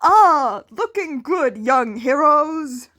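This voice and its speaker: A woman voicing a hearty, encouraging male superhero teacher who speaks in a loud, declamatory way. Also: sits high, expressive, very slow, pitched above the performer's own voice, full character voice. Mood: booming, proud.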